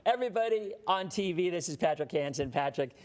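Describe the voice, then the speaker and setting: A man's voice: sits high, lively intonation, a little fast. A man hosting a live weather show, chatting loosely with a guest and greeting viewers by name. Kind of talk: casual live TV interview chat.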